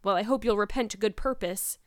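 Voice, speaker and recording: A woman voicing a stern, exasperated older guardian in a storybook, with a brisk, sarcastic, scolding manner. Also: mid-range voice, no room sound, studio quality, close to an everyday voice. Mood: severe.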